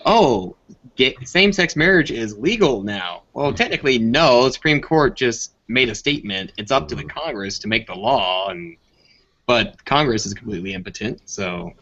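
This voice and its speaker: Bright, forceful man on lo-fi call. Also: mid-range voice, animated, moderate pace. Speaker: podcast panelist. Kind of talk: casual political group chat. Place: group internet voice call. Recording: dull lo-fi online voice call.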